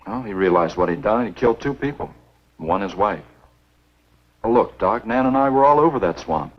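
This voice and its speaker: A skeptical american game warden in an old 50s movie, low voice, dismissive, muffled film sound. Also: male voice, animated, brisk. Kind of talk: 1950s film acting. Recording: dull, lo-fi 1950s film soundtrack.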